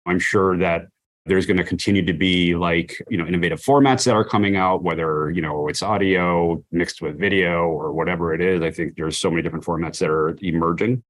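A man's voice: low-pitched, fairly even intonation, a little fast. A man giving casual, conversational interview answers about his work, often saying "you know" and "like". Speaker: brand marketer, interview guest.

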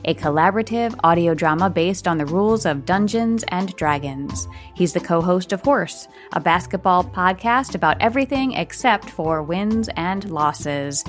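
A woman hosting a podcast, reading a scripted introduction and interviewing a guest. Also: lowish voice.

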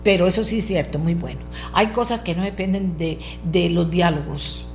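A woman's voice: lowish voice, moderate pace, darkish tone, faint music behind. A woman with a Costa Rican accent and a powerful voice, hosting a talk show with insistent, emphatic political commentary that repeats phrases for stress. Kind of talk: opinionated political commentary addressed to listeners.

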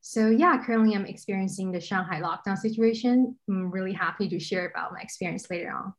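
A woman's voice: medium pitch, quick pace. Young woman from China, podcast guest, eager, lots of fillers. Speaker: guest, staff writer. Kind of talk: casual podcast conversation.

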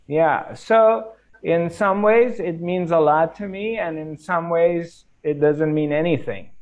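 A man with a slightly raised voice talks slowly and thoughtfully, stopping often to find his words. He answers questions about meditation over a video call. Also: fairly high pitch, darkish tone, highs cut off. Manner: slow, reflective, hesitant with many fillers. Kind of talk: casual spiritual Q&A.

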